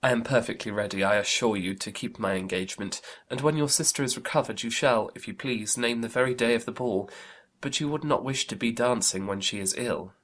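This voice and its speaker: A woman voicing an amiable, civil young gentleman in a dramatized audiobook, polite and obliging. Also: a bass voice, fairly quick, pitched below the performer's own voice. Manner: civil, pleasant. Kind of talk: dramatized audiobook character reading.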